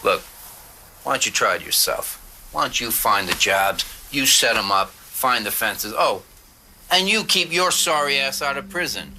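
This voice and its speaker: Guy playing a corrupt defense lawyer in an audio drama, forceful bright voice, argues back, sardonic. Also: medium pitch, expressive, a little slow, slight background noise, heavily acted. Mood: irritated, sardonic. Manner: argumentative, sardonic. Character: corrupt, defiant veteran male defense attorney.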